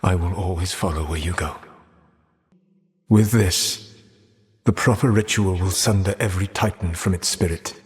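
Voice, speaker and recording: An actor playing an ancient, proud elven rebel mage burdened by regret in a video game, speaking in a grave, formal, measured way. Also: male voice, low-pitched, long reverb tail, natural voice. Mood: somber, pleading. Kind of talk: theatrical video game voice acting.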